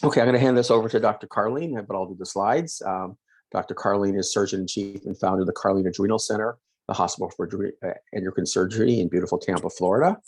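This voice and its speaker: Fast-talking deep-voiced male host. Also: a little mellow, slightly rough, dry recording. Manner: quick, filler-heavy, self-correcting. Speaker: host, endocrinologist. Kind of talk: webinar presentation. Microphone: video call.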